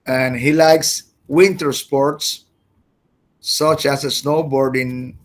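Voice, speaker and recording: A man who speaks Spanish as his first language, teaching an English grammar class online, halting and repeating his words as he explains. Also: mid-range voice, fairly even intonation.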